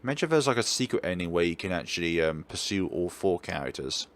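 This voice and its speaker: A man hosting a let's play, chatting through a visual novel in an animated way. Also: low voice, fast.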